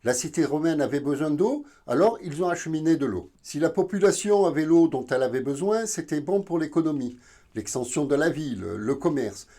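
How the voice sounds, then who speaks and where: Male video host from southern France: fairly high but soft-toned voice, halting explanatory delivery. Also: animated. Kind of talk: informal educational narration.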